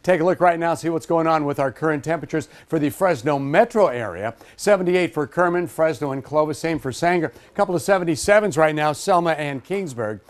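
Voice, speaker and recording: A man's voice: a little high, brisk, few pauses. An American man presenting a live morning TV weather forecast in a conversational, informal manner.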